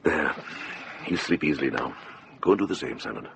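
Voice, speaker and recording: Older monastic healer, low and varied in tone, gently authoritative; radio play with background noise. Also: male voice, expressive, brisk, gravelly, exaggerated voice. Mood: soothing. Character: calm, firm, kindly older monk and healer. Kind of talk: radio drama acting. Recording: dull, noisy radio drama recording.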